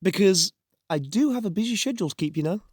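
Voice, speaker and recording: A man's voice: high-pitched, lively intonation, fast, husky, no echo, clean studio sound. A man playing a cocky young mafia heir in an audio drama, brash, sarcastic and taunting. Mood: smug, sarcastic. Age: young adult.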